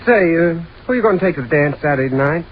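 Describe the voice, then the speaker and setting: Casting: male actor as easygoing young American college man, lightish pitch, bouncy and slangy, muffled old-time radio drama. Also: lively intonation, quick pace, slight background noise, lightly acted. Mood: chummy. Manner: chummy, slangy. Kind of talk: 1930s radio drama acting. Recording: muffled 1930s radio recording.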